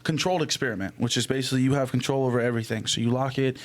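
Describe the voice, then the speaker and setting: A man's voice: mid-range voice, fairly even intonation, racing. A young man chatting casually on a podcast mic, theorizing in an emphatic, slangy, profane way. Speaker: podcast co-host. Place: small indoor room. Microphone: podcast microphone on arm, headphones.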